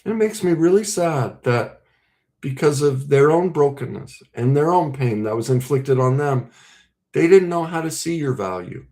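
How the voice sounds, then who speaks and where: A man speaking as a relationship coach, earnest and emphatic, breaking his talk into short, deliberate phrases. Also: on the high side, animated, warm tone. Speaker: relationship coach and podcast host. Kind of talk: coaching talk to camera with role-played example conversations.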